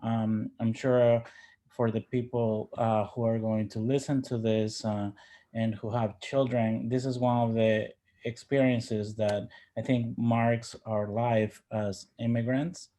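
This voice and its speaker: A man from Ecuador, a native Spanish speaker, giving personal reflections as an interview guest in a halting, thoughtful way. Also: mid-range voice, level tone, dark tone. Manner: halting, thoughtful, with repeated word starts.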